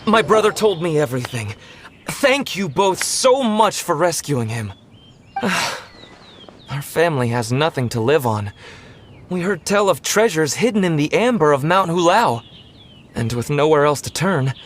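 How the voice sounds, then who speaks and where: Earnest, higher-voiced humble villager. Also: male voice, animated, measured pace, a little hiss or hum. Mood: grateful, weary. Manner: earnest, pleading, deferential. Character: earnest, humble poor young man, grateful and pious. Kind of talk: video game voice acting. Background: game music.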